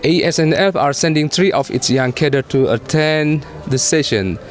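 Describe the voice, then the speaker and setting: A man speaking as an interviewer, asking questions in stiff, formal, halting English. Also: fairly high pitch, soft background music. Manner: formal, halting non-native phrasing. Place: conference venue.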